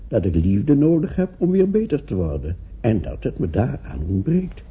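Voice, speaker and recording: An actor playing a lonely, sick old gentleman in a radio drama. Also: medium pitch, huge pitch swings, normal speed, breathy edge, heavily acted. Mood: tender, probing. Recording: tinny, narrow-band old radio recording.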